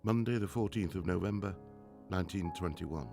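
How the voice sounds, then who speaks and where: A man's voice: deep voice, moderate pace, creaky. An actor playing a stern, driven widowed professor and father in a 1920s radio drama, with a formal, precise delivery. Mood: sombre, reflective. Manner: formal, precise, clipped scolding. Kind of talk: radio drama acting, diary narration. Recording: music under the voice.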